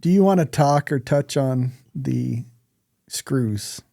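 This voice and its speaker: Gravelly, mellow male podcast co-host. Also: medium pitch, expressive, slow pace, dry recording, clean studio sound. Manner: blunt, informal, prone to swearing. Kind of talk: casual podcast chat.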